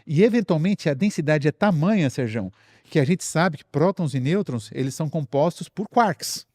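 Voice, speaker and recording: A Brazilian man with a fairly high voice talks as a guest science expert. He explains casually, building ideas step by step and repeating phrases for emphasis. The sound is close and dry. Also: expressive, normal speed, darkish tone, no echo.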